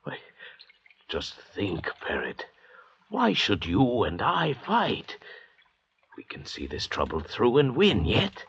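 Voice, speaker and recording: A cunning convict doctor in a vintage radio play, deep and husky. He is commanding and calculating, with theatrical swings of pitch, heard on a dull old recording. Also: wildly swooping pitch, a bit bright, exaggerated voice. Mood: wary, persuasive. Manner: stern, measured, calculating. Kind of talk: old-time radio drama acting.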